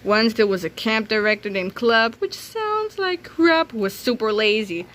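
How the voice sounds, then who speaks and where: A woman reading a children's comic aloud in an animated, dramatic, expressive way, occasionally stumbling and re-reading. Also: on the high side, a little slow. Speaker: read-aloud narrator.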